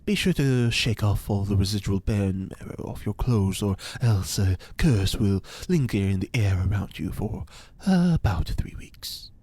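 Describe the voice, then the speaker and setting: A man's voice: fairly low pitch, lively intonation, mellow, no room sound. Man playing a theatrical eccentric occult scientist, swooping delivery. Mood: grave, instructive. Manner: theatrical, formal, precise, with dramatic pauses. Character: eccentric pompous occult scientist and ex-cult leader, male. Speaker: fictional scientist being interviewed. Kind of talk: science fiction audio drama acting.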